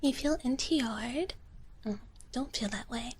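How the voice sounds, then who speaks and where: A woman whispers softly and breathily, close to the microphone, in a live ASMR stream. She speaks very slowly in a fairly high voice, playing a gentle wish-dragon persona as she chats with viewers. There is audible noise behind her. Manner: playful, in character as a gentle wish dragon, often speaking of herself in third person. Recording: clearly audible background noise.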